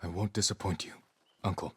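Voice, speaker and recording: A man's voice: sits low, fairly even intonation, brisk, fairly bright tone, slightly rough, full character voice. A man acting an earnest, resolute young samurai lord in a game cutscene, restrained and terse in his speech. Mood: subdued.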